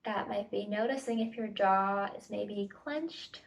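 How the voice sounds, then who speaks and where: A woman facilitator leading a guided body scan meditation with gentle, tentative invitations and pauses, recorded at home. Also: medium pitch, creaky. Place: at home, indoors. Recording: home recording, distant mic.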